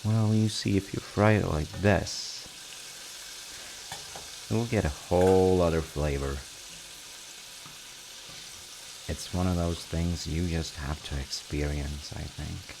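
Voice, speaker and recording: A man playing a cozy, playful, reassuring dad in an intimate audio roleplay, talking softly and conversationally to the listener with gentle teasing. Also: low-pitched, fairly even intonation, unhurried, creaky. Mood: cozy, musing. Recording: noticeable kitchen noise of sizzling and stirring. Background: frying sizzle and kitchen sounds.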